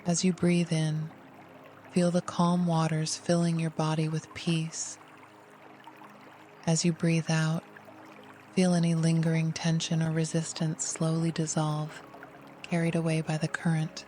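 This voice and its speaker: Meditation guide voice, gentle n unhurried, flat calm pitch, warm dark tone, music and water running behind. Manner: soothing, unhurried, gentle instruction. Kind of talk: guided meditation, scripted narration.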